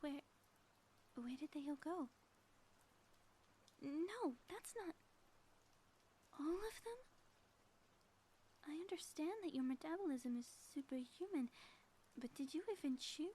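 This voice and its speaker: A high, softly mellow voice of a woman acting as a gloating villainess in a roleplay. She speaks in florid, grandiose phrases with playful menace. There is faint noise behind her. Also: lively intonation, takes it slowly, long pauses. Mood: flustered disbelief. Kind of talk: scripted audio roleplay monologue, ASMR.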